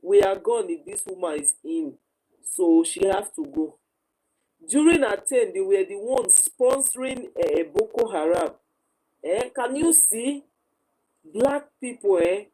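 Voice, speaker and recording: Emphatic Nigerian woman, mellow voice. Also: mid-range voice, a little slow, poor audio. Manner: emphatic, repetitive, opinionated. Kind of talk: heated informal discussion with Pidgin mixed in.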